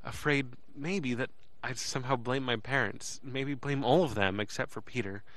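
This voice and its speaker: A man's voice: mid-range voice, fairly bright tone, natural voice. Man acting as a hesitant, earnest teenage boy. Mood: reflective, uneasy. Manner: halting, hesitant, repeats words. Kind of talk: first-person audio drama narration and dialogue.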